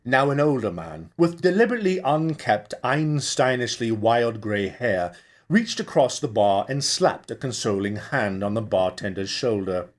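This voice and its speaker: A man reading fiction aloud as a storyteller, with dramatic, deliberate and expressive audiobook delivery. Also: mid-range voice. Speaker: actor reading a novel chapter. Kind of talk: dramatic scripted audiobook narration.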